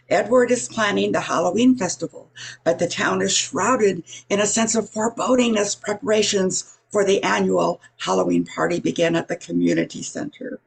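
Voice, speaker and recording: A woman's voice: medium pitch, vocal fry, husky, poor audio. An older woman announcing and narrating a scripted amateur radio play.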